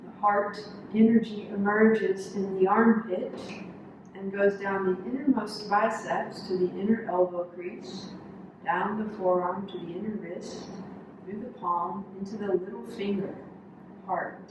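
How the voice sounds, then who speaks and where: A woman yoga instructor leading a guided body scan meditation, calmly giving instructions in short, measured phrases with pauses. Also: medium pitch, lo-fi sound. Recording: echoey room, distant mic, noisy background.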